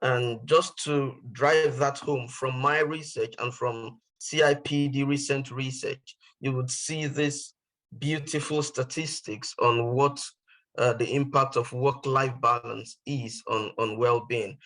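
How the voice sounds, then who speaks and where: A man presenting research findings in an online talk, explaining in a measured, somewhat hesitant way. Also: mid-range voice, fairly even intonation, fairly bright tone, poor audio.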